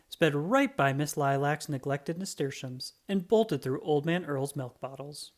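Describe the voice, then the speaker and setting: A narrator reading a children's storybook aloud in a dramatic, expressive way. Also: medium pitch. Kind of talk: animated scripted storybook read-aloud.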